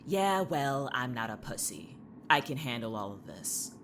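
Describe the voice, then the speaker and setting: A woman's voice: extremely low pitch, big pitch swings, measured pace, fairly bright tone, slight background noise, full character voice. A woman playing a sarcastic, defensive, exasperated young patient in an audio drama, blunt and snarky in delivery. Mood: indignant, sarcastic.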